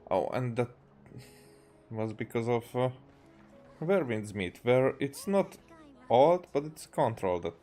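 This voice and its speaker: A man from Hungary with a Hungarian accent, giving casual, halting gameplay commentary as he streams. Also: medium pitch, animated, warm tone, faint music behind. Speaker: game streamer.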